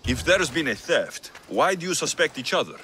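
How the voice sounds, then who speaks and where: An actor playing a stern, terse Greek mercenary warrior in a video game. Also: male voice, fairly high pitch, big pitch swings, moderate pace, pushed and bright, a little hiss or hum. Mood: measured. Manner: terse, authoritative. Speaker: player character.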